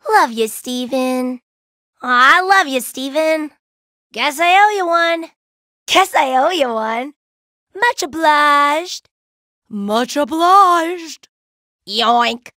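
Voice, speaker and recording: A playful young gem warrior woman for a cartoon video game. Her voice is high and bright, swinging widely in pitch. She is brash yet laid-back, tossing out cheeky slang and exclamations in a dry, close recording. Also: expressive, slow pace, pauses often, dry recording, exaggerated voice. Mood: playful, casual. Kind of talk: cartoon video game voice acting, short barks.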